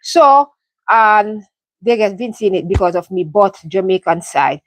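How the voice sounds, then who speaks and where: A woman's voice: medium pitch, lively intonation, measured pace, no echo. Earnest Caribbean woman on a live stream. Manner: earnest, heartfelt, many ums and restarts. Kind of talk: casual live stream update. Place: home in London.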